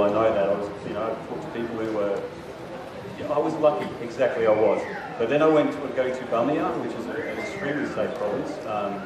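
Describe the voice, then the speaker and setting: A man's voice: medium pitch, background noise. A man speaking as an author on a festival panel, hesitant, with many restarts and fillers. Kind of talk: panel discussion. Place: large hall with a live audience. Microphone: handheld mic, heard from far off. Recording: echoey hall recorded from far off, crowd behind.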